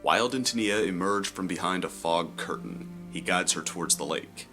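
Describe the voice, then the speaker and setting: A man's voice: deep voice, moderate pace, sharp tone, faint music behind. A man reading scripted, dramatic third-person story narration for a fantasy audio drama.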